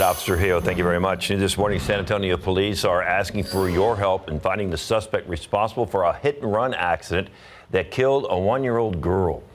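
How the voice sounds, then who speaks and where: A man with a low voice anchors a morning TV newscast. He speaks with an American accent and reads scripted stories in a newsreader's style. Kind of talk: scripted TV news reading.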